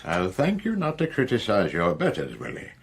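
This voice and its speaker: An actor playing a dignified, fussy old butler in a radio play, with a stern, proper manner. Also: male voice, on the low side, huge pitch swings, normal speed, gravelly, a little hiss or hum, exaggerated voice. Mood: scolding. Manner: scolding, deferential to superiors.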